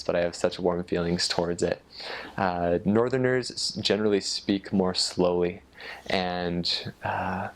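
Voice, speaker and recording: A man with an American accent giving a casual explanation, halting a little as he thinks aloud. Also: deep voice. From United States. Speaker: former missionary explaining Norwegian dialects.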